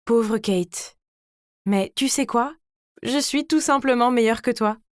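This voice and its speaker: Woman playing a smug high-voiced teenage schemer. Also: slow pace, no room sound, clean studio sound. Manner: smug, theatrical. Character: vain, scheming teenage girl telling her own story. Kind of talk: dramatized first-person story narration.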